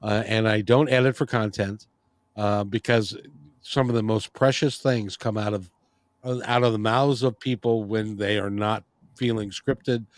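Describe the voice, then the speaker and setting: A man's voice: on the low side, fairly even intonation, a little slow, no room sound. A middle-aged man hosting a podcast, talking casually and rambling, with frequent restarts. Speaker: podcast and radio show host. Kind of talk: casual interview chat.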